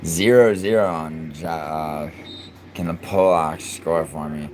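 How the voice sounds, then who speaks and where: A man from New York City streaming live, chatting casually and cursing in excitable outbursts between mumbled fillers. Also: extremely low pitch, slow pace, a little hiss or hum. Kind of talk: casual live stream chat, reacting to soccer and reading chat.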